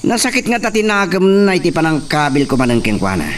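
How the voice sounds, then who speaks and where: A man's voice: a little high, very animated, fast talker. A man playing a domineering young master in a radio drama, taunting and imperious as he speaks. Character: cruel, domineering young master bullying a servant. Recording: distant voice, faint background noise.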